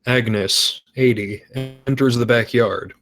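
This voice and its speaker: A man narrates screenplay directions. His voice is mid-pitched and he reads at a slow, measured pace. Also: steady tone, a bit bright, rough recording.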